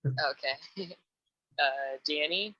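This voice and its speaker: A woman's voice: low voice, bright, forceful tone, no echo, lo-fi sound. A woman hosting a dating show call-in, chatty and upbeat, cheering each guest on. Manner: upbeat, answers each guest with a quick "awesome". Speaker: host. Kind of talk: casual chat, running a call-in dating show.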